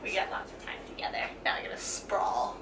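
A woman's voice: a little fast, in a whisper. A woman in her twenties chatting and teasing on a travel vlog, filmed on a camera. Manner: teasing, giggly. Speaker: travel vlogger. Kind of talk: casual travel vlog chat. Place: outdoors on an island and in a ship cabin. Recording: distant noisy camera audio.

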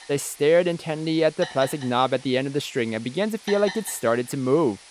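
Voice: mid-range voice, fairly quick, slight background noise, rough recording. A let's play host reading visual novel narration aloud, with casual asides.

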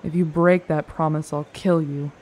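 A woman's voice: low voice, steady tone, normal speed, mellow, rough recording. A woman playing a cynical, threatening vampire in an audio roleplay, with a blunt, drawling delivery. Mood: menacing, irritated.

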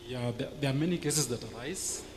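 A man's voice: medium pitch, fairly quick. A middle-aged man with a Kenyan English accent, thoughtfully explaining church welfare work on a panel with frequent fillers, into a handheld mic. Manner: thoughtful, hesitant fillers, gesturing. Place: church TV studio set, seated. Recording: echoey hall with noticeable noise.

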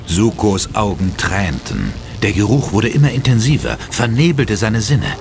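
A man's voice: on the low side, sing-song, moderate pace, creaky, noisy background. A man narrating a horror audio drama in a suspenseful, theatrical manner. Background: music.

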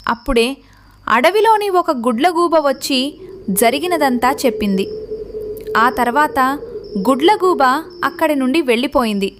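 Casting: female storyteller for kids' cartoon, high-pitched, scripted narration, slightly noisy track. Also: animated, normal speed, pauses often.

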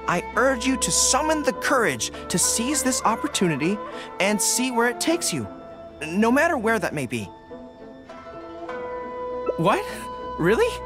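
An earnest young army general with dog ears in a video game. His pitch moves in lively swings as he speaks in deliberate, formal phrases. Soft music plays behind him. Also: full character voice. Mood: earnest, encouraging. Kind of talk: video game character dialogue.

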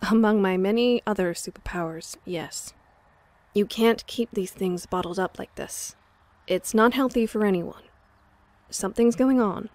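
A woman voicing a calm, caring, gently insistent campmate in a fan audio drama, earnest and soothing. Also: medium pitch, unhurried, dry recording, close to an everyday voice. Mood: gentle insistence.

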